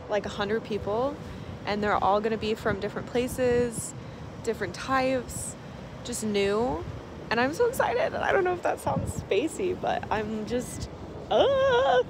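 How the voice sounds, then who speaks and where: A woman chatting casually and excitably as a travel vlogger on a road trip, with bubbly, exclamatory delivery. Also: mid-range voice, background noise.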